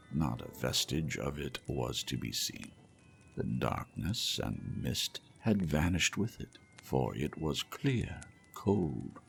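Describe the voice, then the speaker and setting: A man reading a classic story aloud as audiobook narrator, in a slow, measured, soothing manner. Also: rumbling low, creaky. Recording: faint music behind.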